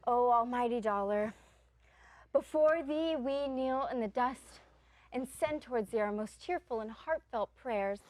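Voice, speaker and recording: A woman's voice: fairly high pitch, unhurried, darkish tone, no room sound, close to an everyday voice. A woman acting a bossy, jolly troupe comedian with hammy, mock-grand delivery in a stage play. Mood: mock reverent. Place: stage performance.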